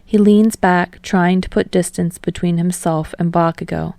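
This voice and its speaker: Low, creaky-voiced woman narrating fiction. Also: steady tone, moderate pace, dark tone, dry recording. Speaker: audiobook reader of fan fiction. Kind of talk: scripted story narration.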